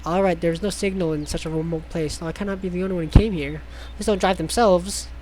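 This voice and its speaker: A man's voice: fairly high pitch, a little mellow, a little hiss or hum, poor audio. A man reading a visual novel aloud in a theatrical way, with sarcastic asides and stumbles over words. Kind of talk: mocking dramatic read-aloud with ad-lib commentary.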